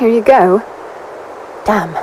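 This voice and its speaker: A woman playing a sharp-tongued, playful warrior in a fantasy audio drama, with sarcastic, teasing delivery. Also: mid-range voice, takes it slowly, background noise, natural voice.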